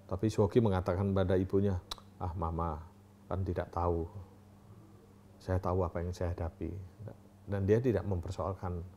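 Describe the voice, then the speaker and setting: Male interviewee, very low mellow voice, steady telling, light music under. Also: normal speed, pauses often, slight background noise. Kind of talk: recounting in interview.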